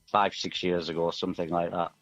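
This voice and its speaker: A man's voice: low-pitched, flat delivery, brisk, a bit bright, muffled recording. A middle-aged man chatting casually and reflectively as a musician guest in a radio interview. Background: music playing underneath.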